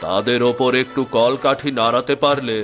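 A man's voice: medium pitch, fairly even intonation, normal speed, fairly bright tone, natural voice. A man voicing a greedy, scheming village headman in a cartoon, bossy and cunning. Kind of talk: Bengali cartoon voice acting. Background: music.

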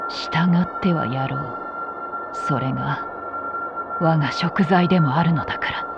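A woman's voice: low-pitched, unhurried, creaky. A woman voicing a proud ancient female spirit who scorns humans in a game cutscene, speaking in haughty, archaic, commanding phrases. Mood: grudging. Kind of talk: video game cutscene acting. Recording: dull muffled sound under loud music.

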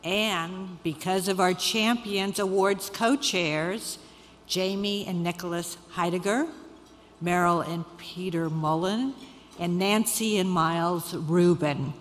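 Woman, middle-aged, event chair reading a prepared speech at a slow pace, long hall reverb. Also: lowish voice, steady tone. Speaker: event chair giving opening remarks. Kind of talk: prepared speech at an awards ceremony. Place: large event hall, on stage. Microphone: podium microphone. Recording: hall reverb, background noise, podium microphone.

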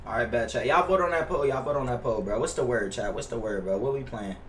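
A man's voice: mid-range voice, fast, fairly bright tone, echoey room, far from the mic, slight background noise. A young American man in his twenties chatting casually on a gaming livestream, profane, slangy and repetitive in his griping. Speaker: game streamer. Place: indoor home gaming room. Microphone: headset mic.

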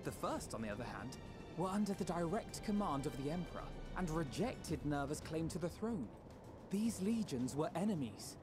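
A man's voice: a little high, very animated, normal speed, music in the background. A man playing an earnest, analytical scholar adviser in a fantasy game, formal and articulate. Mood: serious.

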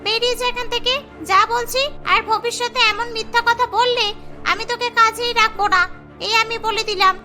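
A woman voicing a haughty, suspicious rich employer in a cartoon, sharp and scolding. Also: very high-pitched, steady tone, moderate pace, faint music behind, pitched above the performer's own voice. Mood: angry, accusing. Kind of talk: cartoon dialogue.

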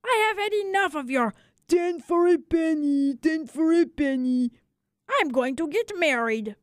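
Woman as an excitable little French barber, dramatic. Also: high voice, a little slow, no echo, studio quality, pitched above the performer's own voice. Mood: indignant. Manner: dramatic, emphatic, exclamatory. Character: excitable, tender-hearted little French barber telling his tale.

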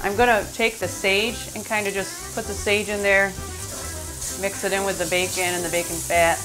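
A woman's voice: medium pitch, flat delivery, moderate pace, over music, poor audio. Woman cook walking thru a recipe at home, plain middle voice, kitchen sounds behind. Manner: plain, step-by-step explaining. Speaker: cook and host. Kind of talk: cooking demonstration.

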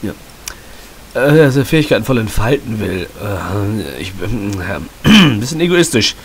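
A man with a slight cold commenting casually and sarcastically on a story game, often clearing his throat. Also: fairly low pitch, very animated, measured pace. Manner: chatty, joking, sarcastic. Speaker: let's play host. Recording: hissy home mic.

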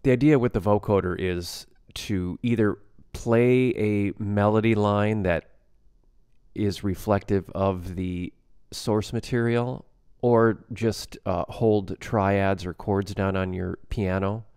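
A man giving a casual software tutorial as a music production instructor, explaining plainly step by step. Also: lowish voice, fairly even intonation, slow pace, clean tone, dry recording, studio quality.

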